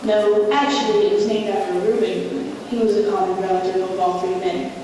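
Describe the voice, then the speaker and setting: A woman's voice: medium pitch, steady tone, a little fast, dark tone, lightly acted. Echoey, distant ghostly princess, acted by a woman. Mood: dignified storytelling. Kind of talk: scripted puppet show dialogue. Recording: echoey hall from far off, noisy.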